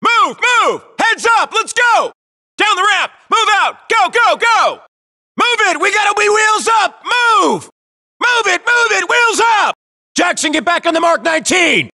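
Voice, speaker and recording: A Marine in the thick of a shooter-game firefight, shouting at the top of a very high, strained register. The delivery is bright, forceful and urgent, swinging hard with each barked order. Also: male voice, very animated, unhurried, heavily acted. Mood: commanding. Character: young hard-charging US Marine infantryman in battle. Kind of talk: military shooter game battle barks.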